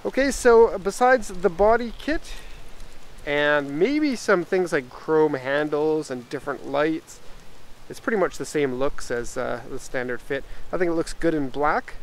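Gravelly man doing a casual car walkaround. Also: a little high, expressive, moderate pace. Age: middle-aged. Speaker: car exporter presenting a vehicle. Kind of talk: casual unscripted walkaround review. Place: outdoors by a parked car and inside it. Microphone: handheld camera microphone. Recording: outdoor handheld camera, clear ambient noise.

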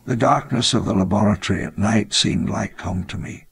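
An actor playing a wistful elderly park founder, narrating his memoir in measured, reflective storytelling. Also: on the low side, vocal fry, breathy edge, exaggerated voice. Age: older. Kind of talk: scripted memoir narration in a game.

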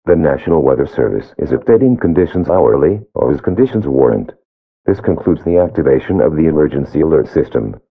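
Deep, husky synthetic man on tinny radio. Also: animated, a little fast. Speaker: emergency alert announcer. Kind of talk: scripted emergency announcement. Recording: phone-like narrow-band radio sound.

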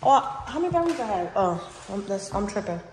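A woman's voice: mid-range voice, big pitch swings, a little fast, a little mellow. A woman chatting casually and informally to viewers and a companion as she films a house tour on a handheld phone. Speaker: vlogger giving a house tour. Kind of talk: casual vlog chat while walking. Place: empty house rooms, indoors. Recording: very echoey empty rooms, noisy phone audio.

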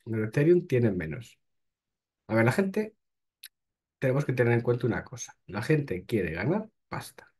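A man's voice: medium pitch, big pitch swings, normal speed, long pauses, darkish tone, husky. A man, hosting and reading crypto news aloud, then breaking off with short blunt remarks. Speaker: host.

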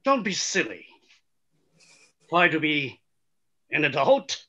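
A man's voice: on the high side, very animated, takes it slowly, sharp tone, heavily acted. A middle-aged man playing a stern, dismissive father in a table read. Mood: stern, exasperated. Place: room at home, on video call. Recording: dull, rough video call audio.

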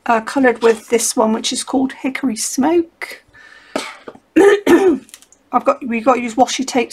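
A middle-aged woman with a slightly croaky voice chats her way through a craft session at home, thinking aloud and filling gaps with ums. Her voice sits a touch high for a woman. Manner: chatty, rambling, thinks aloud with many ums. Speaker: craft vlogger. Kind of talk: casual crafting chat.

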